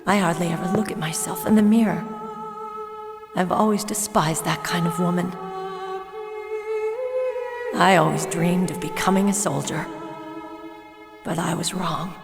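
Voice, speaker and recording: A woman playing a young female soldier in a video game, earnest, measured and determined. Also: lowish voice, fairly even intonation, gravelly, over music. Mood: reflective, wistful. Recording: long hall reverb.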